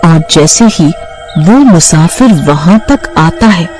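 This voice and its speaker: Slow-paced female storyteller. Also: fairly low pitch, expressive, a little mellow. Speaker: story narrator. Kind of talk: scripted children's horror story narration. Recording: music behind the voice.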